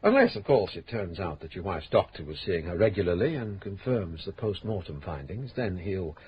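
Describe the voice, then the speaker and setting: A courteous, probing police inspector in a classic radio play. His voice sits fairly low but moves expressively, and the old broadcast recording sounds dull with a faint hiss. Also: expressive. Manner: courteous, measured, quietly probing. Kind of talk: 1970s-80s radio drama acting.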